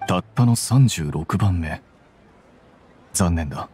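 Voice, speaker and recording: An actor playing a cool, aloof young scholar who explains things in a composed, detached way in an anime game. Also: male voice, deep voice, lively intonation, slow pace, a little mellow, soft background music.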